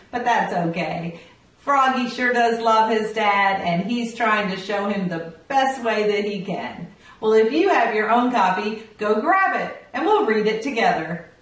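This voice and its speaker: Female children's story host, high-pitched and lively, cheerful expressive delivery, unhurried. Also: heavy reverb, recorded from a distance, low-quality recording. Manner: cheerful, expressive, sing-song emphasis. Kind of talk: animated read-aloud for young children.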